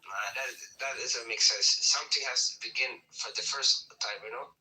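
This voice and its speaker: A man from Sweden whose first language is not English, speaking haltingly in broken English and repeating words as he explains his faith. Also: medium pitch, steady tone, pushed and bright, low-quality recording.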